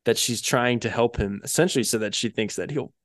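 A man's voice: mid-range voice, fairly quick, no room sound. Man chatting casually, close dry recording. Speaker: podcast co-host. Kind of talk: casual chat reviewing cartoon episodes.

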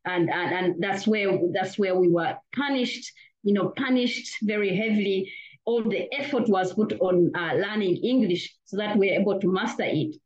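Academic guest, woman from Uganda, mid pitch, earnest and full of fillers, muffled lo-fi sound. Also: normal speed, darkish tone. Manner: earnest, with frequent "you know" fillers and restarts. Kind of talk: interview answer. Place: lives in Australia.

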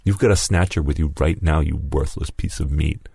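A man voicing Lewis, an angry, vengeful former comrade who shouts accusations and whose voice cracks. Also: very deep voice, normal speed, vocal fry, husky, dry recording, studio quality, full character voice. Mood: snarling threatening.

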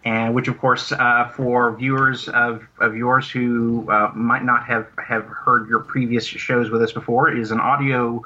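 A man chatting casually as an interview guest, speaking in a halting, rambling way and repeating words. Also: mid-range voice, flat delivery, pushed and bright, highs cut off. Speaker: guest, audio drama producer.

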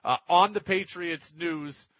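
Bright, raspy man on sports radio. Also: sits high, slow pace, no echo, highs cut off. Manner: opinionated, emphatic, repeats points for effect. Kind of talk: sports talk radio commentary, reading listener texts.

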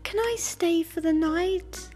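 A woman voicing a weary young boy in a storybook, pleading in a high voice. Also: takes it slowly, darkish tone, soft background music. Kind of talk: storybook read-aloud.

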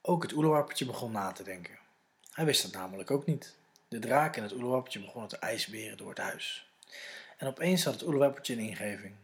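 A man's voice: medium pitch, animated, a little fast. A man with a Netherlands Dutch accent, narrating a scripted story for children.

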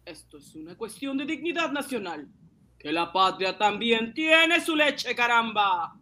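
Mujer haciendo de empresaria indignada, de entonación muy viva. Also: female voice, on the high side, very animated, a little slow, bright, forceful tone, full character voice. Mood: indignant, defiant. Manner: emphatic, protesting. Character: indignant, proud owner of a small national factory. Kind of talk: radio drama acting.

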